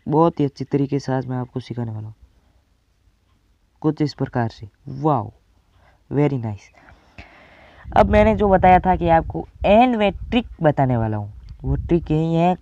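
A man's voice: on the high side, expressive, measured pace, pauses often, dark tone, dry recording, lo-fi sound. A teenage male from India giving a casual step-by-step tutorial, addressing viewers as friends and often checking they follow. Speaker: tutorial presenter.

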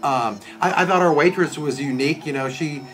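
A man's voice: fairly high pitch, a little fast, fairly bright tone, faint music behind. A middle-aged man, a restaurant critic hosting a casual review chat with a co-host. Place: indoors in front of a backdrop.

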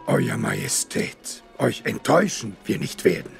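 An actor playing a small, ancient, wise alien Jedi master, croaky and solemn, with inverted word order. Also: male voice, lowish voice, wildly swooping pitch, unhurried, faint music behind, full character voice. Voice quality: gravelly, croaky.

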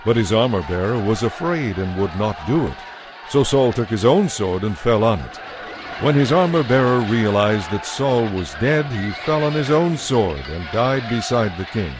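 A man narrating a dramatized Bible as scripted storytelling, in a solemn, measured manner. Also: mid-range voice, noisy background.

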